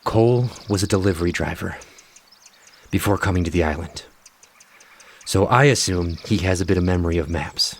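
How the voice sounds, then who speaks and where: A man's voice: low-pitched, moderate pace, pauses often, a little hiss or hum. An actor playing Doctor Reed, an injured older male doctor who speaks firmly and with authority. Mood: commanding. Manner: firm, authoritative. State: weak, injured.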